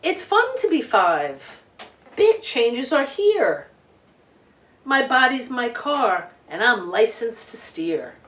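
A middle-aged woman reading a picture book aloud to children in an expressive, deliberate way with emphasized words. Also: a little high. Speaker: children's storytime reader. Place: living room couch, indoors. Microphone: camera a few feet away. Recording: roomy home recording, distant microphone.

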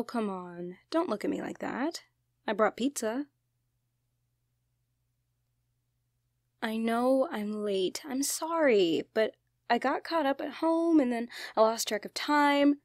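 Woman acting casual, expressive young friend, close dry sound. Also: fairly high pitch, gravelly, no echo. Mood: pleading, apologetic. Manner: teasing, casual, expressive, apologetic. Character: playful, bantering, anxious young genderfluid best friend. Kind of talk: ASMR roleplay, one-sided conversational acting.

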